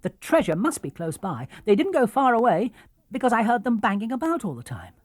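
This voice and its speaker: A man voicing a brisk, excitable young girl sailor with an English accent in a storybook. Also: extremely high pitch, no room sound, pitched above the performer's own voice, heavily acted. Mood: eager, planning. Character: bossy, eager, adventurous young girl sailor.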